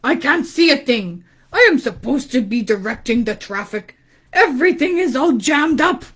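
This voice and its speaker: A woman's voice: sits high, lively intonation, moderate pace, fairly bright tone, breathy edge, full character voice. A woman reading a storybook as a flustered, indignant policeman.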